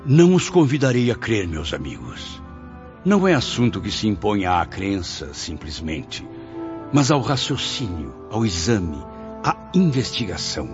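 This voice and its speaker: An actor with a Brazilian accent playing a tormented dead man who narrates his afterlife in a radio drama, gravely and dramatically. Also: fairly low pitch, sing-song, measured pace. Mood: solemn. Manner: grave, dramatic, literary delivery. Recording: muffled lo-fi recording, music behind.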